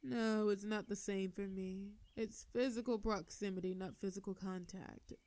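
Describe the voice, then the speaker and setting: A woman's voice: medium pitch, fairly even intonation, a little mellow, close to an everyday voice. A woman playing a sardonic telepathic supervillain in a scripted audio drama, with a theatrical, teasing way of drawing out her words. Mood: gentle, commanding. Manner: theatrical, taunting, drawn-out words. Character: sardonic female telepathic supervillain, menacing yet softening.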